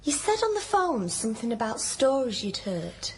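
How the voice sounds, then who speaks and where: An actress playing a down-to-earth hospital nurse in a radio drama, with a Northern English accent and a blunt, teasing, colloquial way of talking. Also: female voice, on the high side, big pitch swings, normal speed, slight background noise. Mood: storytelling. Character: down-to-earth hospital nurse, teasing, plain-spoken old friend. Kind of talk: naturalistic radio drama dialogue.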